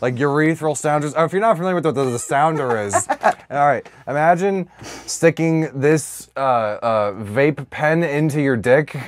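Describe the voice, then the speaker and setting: A man's voice: on the high side, normal speed, a little hiss or hum. A man co-hosting a podcast, chatting casually with crude, profane humor and plenty of joking. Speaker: podcast co-host. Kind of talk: casual comedic chat.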